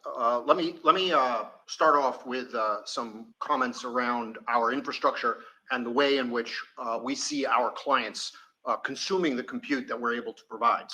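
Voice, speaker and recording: A man speaking as a company executive, answering off the cuff with halting, deliberate phrasing and many pauses. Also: medium pitch, sharp tone, poor audio. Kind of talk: spontaneous answers on an earnings call.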